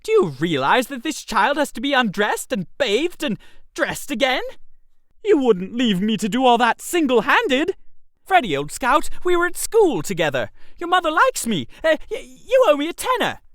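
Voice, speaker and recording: Guy doing a silly earnest young english gentleman pal, chummy, super animated, radio story. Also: extremely high pitch, moderate pace, no room sound, clean studio sound, voice pushed up, full character voice. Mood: desperate pleading. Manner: chummy, soothing, then pleading. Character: well-meaning, pleading, silly young English gentleman chum. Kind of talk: radio theater story reading.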